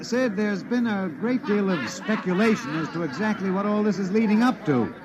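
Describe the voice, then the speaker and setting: A man's voice: high-pitched, expressive, moderate pace, highs cut off, crowd noise. An American actor playing an earnest male radio reporter giving live street commentary in a 1950s radio drama, addressing listeners formally. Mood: genial, probing. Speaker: announcer. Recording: noisy old 1950s radio recording.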